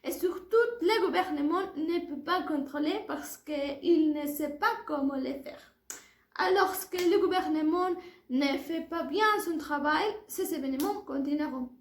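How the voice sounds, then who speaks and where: A woman in her early twenties with a Mexican accent, a native Spanish speaker giving a careful, formal prepared oral presentation as a language student. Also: extremely high pitch. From Puebla, Mexico. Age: early 20s. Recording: echoey room sound.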